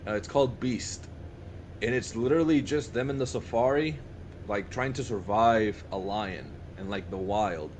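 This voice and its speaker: Informal, enthusiastic man over steady background noise. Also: on the low side, moderate pace. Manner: informal, enthusiastic, repeats himself mid-thought. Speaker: podcast co-host. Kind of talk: casual chat among friends.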